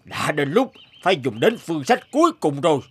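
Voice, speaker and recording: A man's voice: a little high, very animated, fairly quick, slightly rough, full character voice. Commanding tiger king, swooping delivery. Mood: grave, commanding. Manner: commanding, solemn, dramatic. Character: stern, indignant tiger king of the jungle. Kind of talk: cartoon dub acting. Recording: loud music behind the voice.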